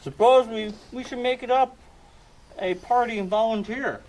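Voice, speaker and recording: An actor playing a joking townsman in an amateur comedy radio play, ad-libbing in a slow, goofy way. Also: extremely high pitch, darkish tone, poor audio. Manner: slow, laughing, goofy ad-libs.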